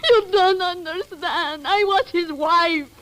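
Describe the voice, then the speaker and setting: An actress playing a grieving, anguished young wife in a 1940s radio melodrama, tearful and dramatic. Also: female voice, very high voice, takes it slowly, very noisy.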